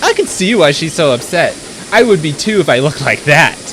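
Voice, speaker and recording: A man's voice: fairly high pitch, sing-song, normal speed, a bit bright, slight background noise, voice pushed up, poor audio. A man voicing sneering, gossipy slip coaches. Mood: mocking. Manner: sneering and teasing. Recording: music clearly behind.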